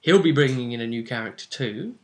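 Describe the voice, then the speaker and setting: A man's voice: mid-range voice, expressive, brisk, darkish tone. A man hosting as game master, giving a casual recap of a tabletop role-playing campaign. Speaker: game master and vidcast host.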